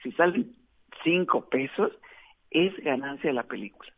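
A man from Mexico with a Mexican accent, a film director giving a relaxed, chatty radio interview with frequent fillers. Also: on the high side, normal speed, no echo. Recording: tinny dry phone line.

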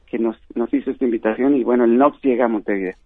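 A man's voice: mid-range voice, quick pace, husky, no room sound. A man with a Mexican accent, a festival organizer on a radio phone interview, friendly and chatty with plenty of fillers and easy laughs. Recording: tinny phone line.

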